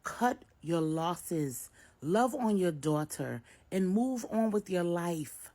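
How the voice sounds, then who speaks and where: Woman acting out a pleading older mother, animated and husky. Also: lowish voice, takes it slowly, voice pushed up. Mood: imploring, grave. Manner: emphatic, imploring. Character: anxious pleading older mother and grandmother, protective.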